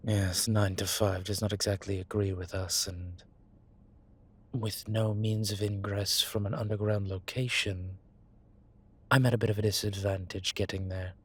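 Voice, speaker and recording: Suave male vampire for audio roleplay, played by a man: husky, breathy, measured, sentimental with dry wit. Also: lowish voice, flat delivery. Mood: wry. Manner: measured, wry, formal wording. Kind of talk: scripted intimate audio roleplay, one side of a conversation.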